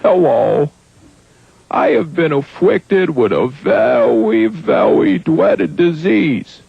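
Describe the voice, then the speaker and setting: A man playing a pitiful afflicted man in a radio comedy bit, slow, plaintive and cartoonish. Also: fairly high pitch, big pitch swings, creaky, full character voice. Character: afflicted grown man with Elmer Fudd's disease, pitiful. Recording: muffled old radio tape with music.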